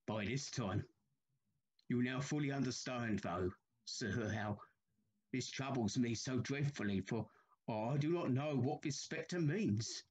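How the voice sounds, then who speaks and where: An actor playing an anxious, haunted older railway signalman in a Victorian ghost story, troubled and halting, with formal old-fashioned speech. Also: mid-range voice, breathy edge, rough recording. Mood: troubled, dread.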